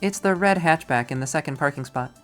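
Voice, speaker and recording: A performer voicing a bubbly but touchy young woman in a visual novel, with an animated, emphatic, girlish manner. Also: medium pitch, fairly quick, soft background music, close to an everyday voice. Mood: bright, chatty.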